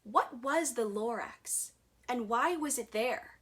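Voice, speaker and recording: A woman reading a children's story aloud in a theatrical, expressive way, dropping into hushed, dramatic phrasing. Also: on the high side, slow, slightly rough.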